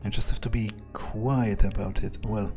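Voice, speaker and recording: A man thinking aloud in character as he plays a video game, muttering deliberately and swearing now and then. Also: on the low side, steady tone, over music. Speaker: gamer doing an in-character playthrough.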